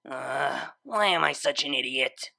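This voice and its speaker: A young storybook unicorn mare acted by a man, flustered and full of self-doubt. The voice is bright with a creaky edge, and it moves slowly and haltingly, as a smitten DJ pony would. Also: medium pitch, lively intonation, full character voice. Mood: nervous, self-mocking. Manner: hesitant, halting, stammering.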